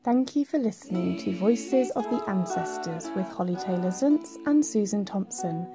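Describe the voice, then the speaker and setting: A woman's voice: medium pitch, expressive, normal speed. A woman hosting a podcast, speaking over music. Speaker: podcast host. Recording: music behind the voice.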